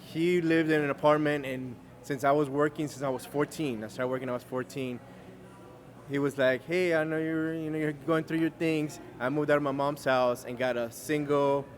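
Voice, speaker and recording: A man's voice: fairly high pitch, moderate pace. Cuban-born man telling his story. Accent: American English, no Cuban accent. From Cuba. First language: Spanish. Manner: relaxed storyteller, many fillers and restarts. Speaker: guest. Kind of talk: casual interview chat.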